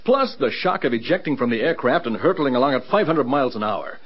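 A stubborn air controller in an old radio play, grimly sure of himself. His mid-pitched voice swings emphatically, on a slightly muffled old recording. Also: animated, fast, slightly rough. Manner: emphatic, insistent. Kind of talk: old-time radio drama acting.

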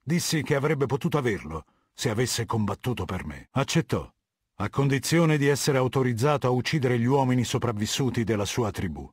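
An actor playing a ruthless middle-aged warlord dictator in a video game, speaking in an imperious, measured, menacing manner with a husky voice. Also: male voice, medium pitch, very animated, no room sound, studio quality. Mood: measured, grave. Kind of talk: dubbed video game dialogue.

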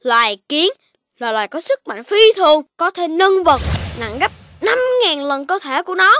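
A performer voicing a playful, stubborn, curious young child in an animated, childlike way for a children's cartoon, with music underneath. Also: high voice, normal speed, slight background noise, exaggerated voice. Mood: confident.

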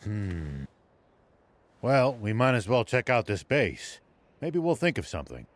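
An actor playing a stern, shrewd old sheriff in a video game, speaking in a measured, musing way. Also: male voice, lowish voice, big pitch swings. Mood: pondering, guarded. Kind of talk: video game cutscene acting.

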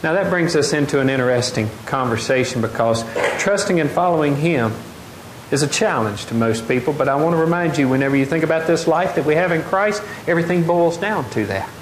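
A middle-aged man preaching a lively sermon, often asking the congregation questions. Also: a little high, brisk. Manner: animated, gestures widely, asks the congregation questions. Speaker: pastor. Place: large wooden open-air church hall. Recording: echoey hall, faint noise.

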